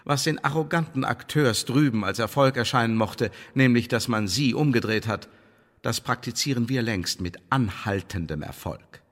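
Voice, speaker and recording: A male actor playing an imperious secret service interrogator in a German radio play, pompous and sardonic, with a husky voice. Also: medium pitch, expressive, moderate pace, a bit bright. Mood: scornful, superior. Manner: pompous officialese mixed with sardonic colloquial jabs. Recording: reverberant hall-like radio drama room.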